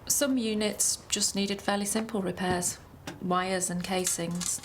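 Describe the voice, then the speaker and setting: An actress playing a shaken, regretful engineer who recounts a crash haltingly in a training film drama. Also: female voice, mid-range voice, fairly even intonation, a little hiss or hum, lightly acted. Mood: weary, reflective. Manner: halting, pausing mid-thought. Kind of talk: reflective first-person testimony in a training film drama.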